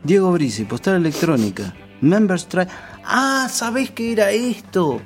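A man with an Argentine Rioplatense accent and a fairly high voice tells anecdotes in a casual group chat. His delivery is jokey and informal. Faint music plays behind him. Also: animated, a little slow. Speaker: guest, trained radio announcer. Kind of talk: casual chat among old friends, telling anecdotes.

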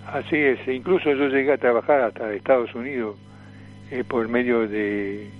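Old argentine guy in his 70s telling stories on radio, halting and repeating words, dull lo-fi sound, bit of music. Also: mid-range voice, fairly even intonation. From Tucumán, Argentina. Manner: hesitant, repeats words and phrases. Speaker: radio guest, veteran comic performer and voice impressionist. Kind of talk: casual reminiscing interview.

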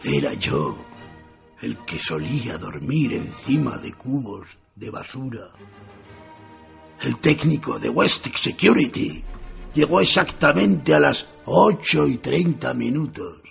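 A man playing a weary, wry ageing writer reading his diary in a radio play, gravelly. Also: mid-range voice, very animated, takes it slowly, soft background music, heavily acted. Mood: weary, deadpan. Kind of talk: radio theatre narration.

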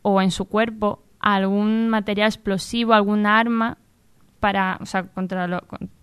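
A woman with a very clear, smooth voice in a dry studio sound. She chats through news items informally as a radio contributor, phrasing things haltingly. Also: mid-range voice, little pitch movement, dry recording.

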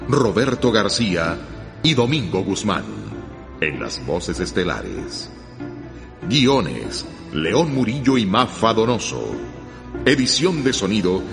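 Slow, low-voiced male radio announcer. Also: gravelly. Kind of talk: scripted radio credits announcement. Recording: long reverb, music underneath.

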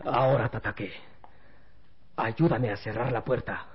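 An actor playing a cold, scheming villain in an old radio serial, theatrical and menacing. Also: male voice, medium pitch, fairly even intonation, unhurried, lo-fi sound, lightly acted. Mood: triumphant. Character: gloating, scheming male villain, cold and ruthless.

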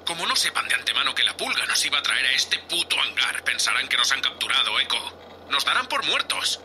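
A man's voice: mid-range voice, sing-song, fairly quick, bright, forceful tone, soft background music. A man with a Spain Spanish accent playing a foul-mouthed, exasperated spaceship captain who scolds curtly in a sci-fi audio drama. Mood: exasperated, grim.

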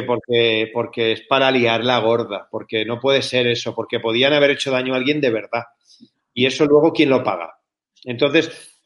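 A man's voice: mid-range voice, a bit bright. A man from Barcelona with a Barcelona Spanish accent, talking bluntly and colloquially as an interview guest, with some swearing. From Barcelona, Spain. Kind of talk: casual, opinionated interview talk.

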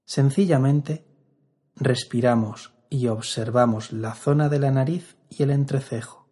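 Slow, husky-voiced man guiding meditation. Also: mid-range voice. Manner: calm, measured, with long pauses. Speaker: meditation instructor. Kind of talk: guided meditation narration.

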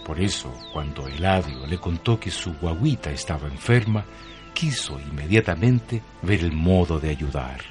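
A male narrator with a Chilean accent tells a scripted radio story. His voice is low and moves expressively up and down, over a clear bed of music. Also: lively intonation, moderate pace. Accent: Chilean Spanish.

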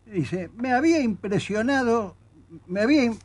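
An older man with an Argentine accent speaks as an interviewed author. His voice sits high and swings widely as he presses his points with indignation. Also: expressive, moderate pace. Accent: Argentine Spanish. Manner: emphatic, indignant, reads quotes aloud. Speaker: author guest. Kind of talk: studio interview conversation.

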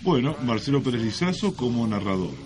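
A man's voice: mid-range voice, big pitch swings, brisk, darkish tone. A man with an Argentine accent co-hosting a casual radio show in a wry, tongue-in-cheek manner. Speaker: radio host. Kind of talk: casual co-hosted radio talk. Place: radio studio.

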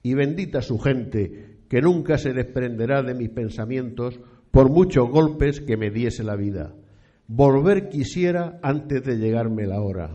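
A man's voice: medium pitch, animated, a little slow, dark tone. The recording is a little dull and muffled. A man acts out an ailing, pious old priest from eighteenth-century Spain in a radio drama, with a mid-range voice, a Spanish accent and a nostalgic, ornate, formal way of speaking. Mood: wistful. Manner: formal, archaic, florid speech. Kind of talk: period radio play acting.

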